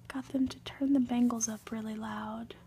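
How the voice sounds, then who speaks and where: A woman reads a storybook aloud for ASMR in a soft, breathy, gentle whisper, with deliberate, unhurried phrasing. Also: fairly high pitch, steady tone, slight background noise. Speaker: ASMR reader.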